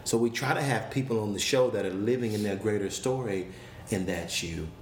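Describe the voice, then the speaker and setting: A man's voice: on the low side, fairly quick. A man hosting an interview podcast, introducing his guest and prompting him eagerly, with plenty of affirming murmurs. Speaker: podcast host. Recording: roomy with faint background noise.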